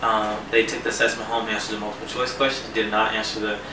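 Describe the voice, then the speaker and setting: A man in his early twenties talks about his work as a new teacher. His voice is low and stays fairly even. The mic is away from him in an echoing, noisy space. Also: quick pace. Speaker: teacher in training. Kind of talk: personal account to camera. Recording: roomy, noisy, distant microphone.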